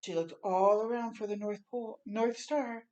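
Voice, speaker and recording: A woman reading a children's story aloud expressively, with animated asides and questions to young listeners. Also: fairly high pitch, normal speed, muffled recording.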